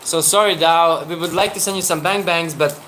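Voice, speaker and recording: A man's voice: on the high side, steady tone, fairly quick, sharp tone, a little hiss or hum, low-quality recording. Rambling thirty-something male vlogger. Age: 30s. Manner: rambling, with frequent restarts and fillers. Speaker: travel vlogger. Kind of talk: casual livestream chat.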